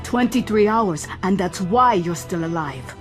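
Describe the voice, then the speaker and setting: A woman's voice: mid-range voice, expressive, a little slow, faint music behind, natural voice. Wry, composed female ship's AI voiced by a woman. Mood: grave. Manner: dry, precise, clinical. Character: wry, composed female shipboard artificial intelligence. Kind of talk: science fiction audio drama acting.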